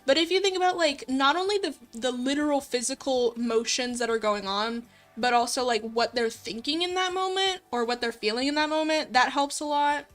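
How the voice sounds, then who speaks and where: A woman's voice: sits high, brisk, hardly any pauses, a bit bright. A woman hosting a casual livestream, talking informally and a little self-consciously in a rambling way as she works. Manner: informal, rambling, self-conscious, giggly, mutters while working. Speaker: livestream host and visual novel writer. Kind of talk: casual livestream chat while coding and reading viewer comments. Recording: music playing behind the voice. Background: background music.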